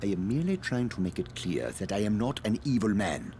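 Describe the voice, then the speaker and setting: An actor playing an evasive, self-justifying scientist in a video game, speaking formally and precisely with polite condescension. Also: male voice, lowish voice, sing-song, brisk, a little mellow, slight background noise. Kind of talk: dramatic video game cutscene acting.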